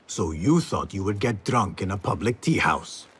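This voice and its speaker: An actor playing a composed, principled assassin in a video game, speaking in a measured, formal, probing way. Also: male voice, on the low side, animated, a bit bright, slightly rough, exaggerated voice. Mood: measured, questioning. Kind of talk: video game cutscene acting.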